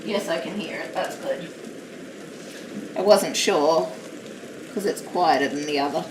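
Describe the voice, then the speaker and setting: A woman chatting casually while live-streaming a craft hobby from home, rambling and self-deprecating, with a naturally loud voice. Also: low-pitched, unhurried. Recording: roomy, distant mic, steady background noise.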